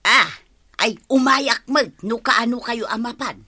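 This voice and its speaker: A woman's voice: fairly high pitch, a little slow, pushed and bright, slightly rough, exaggerated voice. A woman with an Ilocano accent playing a nosy but obliging village woman in a radio comedy drama, chatty and full of questions. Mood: curious. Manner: questioning, conversational.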